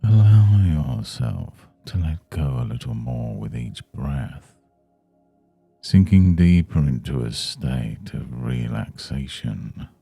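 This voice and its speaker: A man leading a guided meditation in a soothing, measured, hushed manner. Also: extremely low pitch, expressive, vocal fry, breathy edge, faint music behind. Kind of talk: guided Yoga Nidra meditation.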